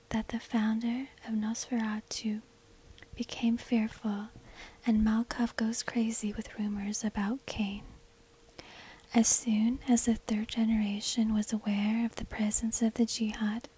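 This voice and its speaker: Slow, breathy whispering woman storyteller. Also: on the high side, level tone, warm tone, rough recording. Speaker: ASMR narrator. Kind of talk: whispered ASMR scripted narration of vampire lore. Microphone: close binaural microphone.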